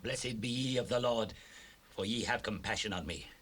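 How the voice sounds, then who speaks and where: An actor playing a wrathful, suspicious king in a dramatized Bible recording, husky and imperious. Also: on the low side, moderate pace, a bit bright. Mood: quietly scheming. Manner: imperious, declamatory. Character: King Saul, wrathful, suspicious, commanding monarch.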